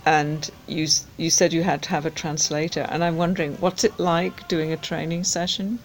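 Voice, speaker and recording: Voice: medium pitch, level tone, moderate pace, poor audio. An interviewer asking curious, attentive questions about the guest's work.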